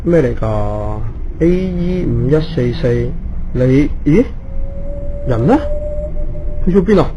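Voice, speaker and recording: An actor playing a tired, easily rattled emergency doctor in a ghost-story radio drama. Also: male voice, mid-range voice, animated, slow, pauses often, lo-fi sound, noisy background. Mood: puzzled. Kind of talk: Cantonese radio ghost drama, narration and dialogue.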